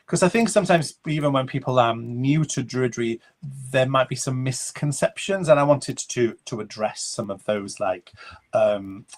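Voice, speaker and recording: A Welsh man with a mid-range voice, a native Welsh speaker, answers interview questions as a guest author. He speaks thoughtfully and measures his words, with small pauses while he searches for the right phrase. The delivery is conversational and unhurried. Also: medium pitch. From Wales.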